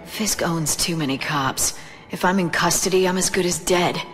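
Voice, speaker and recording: Woman playing low, sultry, defiant femme fatale thief. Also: moderate pace, a bit bright, soft background music, natural voice. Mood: cool, teasing. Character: seductive, defiant female thief, hungry for power. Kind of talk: video game voice acting.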